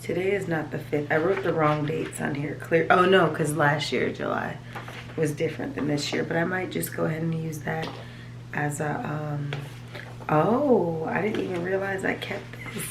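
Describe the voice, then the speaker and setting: A woman with a raspy, allergy-hoarse voice, chatting casually to her viewers at home, rambling as she shows her planner. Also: sits low, normal speed, echoey room, noisy background. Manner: rambling, chatty, talks to viewers as "you guys". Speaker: vlogger. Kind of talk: casual chat. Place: home, at a desk.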